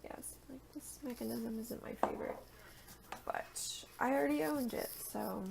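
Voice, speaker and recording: A young woman with a raised, gravelly voice talks her way through a crafting demo, chatty and informal. She sounds a little raspy and worn out from a long day, and now and then she drops to a soft murmur as she works. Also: a little high, normal speed, noisy background. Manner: chatty, thinks aloud while working, drops to a murmur at times. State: tired at the end of a long day. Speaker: art journaling vlogger. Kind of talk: casual crafting tutorial.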